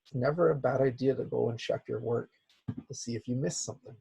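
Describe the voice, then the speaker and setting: A man with a raspy, hoarse voice, as he is losing it, teaching a software class with many "okay"s. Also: medium pitch, steady tone, fast, low-quality recording. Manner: repeats "okay" as a filler. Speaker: instructor. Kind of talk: software tutorial lecture.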